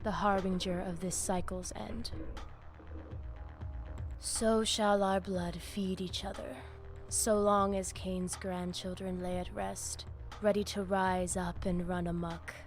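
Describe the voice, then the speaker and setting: Commanding vampire pack leader over music. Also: female voice, on the low side, flat delivery. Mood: solemn, rousing. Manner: measured, oratorical, with Spanish words mixed in. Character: proud, commanding female vampire war-pack leader, ceremonial and scornful. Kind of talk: video game voice acting.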